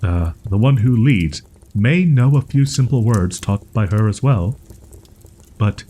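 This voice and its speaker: A man acts out a young wolf-boy in an intimate audio roleplay, husky and mellow. He is proud but shy and wary of humans, taking his time over old-fashioned, formal phrases. His pitch moves around freely as he stumbles into flustered stammers. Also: lively intonation. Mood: earnest, explaining. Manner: archaic formal diction, halting, flustered stammers. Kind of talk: audio roleplay, character acting, ASMR.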